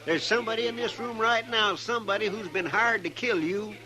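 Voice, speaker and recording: Man playing a higher-voiced, fretful western sidekick. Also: fairly quick, a crowd in the background, natural voice. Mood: alarmed. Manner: fretful, folksy. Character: anxious, loyal, folksy deputy sidekick in old West. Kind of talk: 1950s radio western acting. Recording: noisy vintage radio broadcast.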